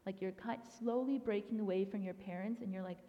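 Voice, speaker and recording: A woman's voice: medium pitch, fairly even intonation, brisk. A young woman gives a casual guest talk at a church, chatty and informal with plenty of "um" and "like." Her voice rings with a long hall reverb, and the recording sounds somewhat dull and lo-fi. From grew up in Thailand. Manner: chatty, frequent "um" and "like". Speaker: youth ministry director, guest speaker.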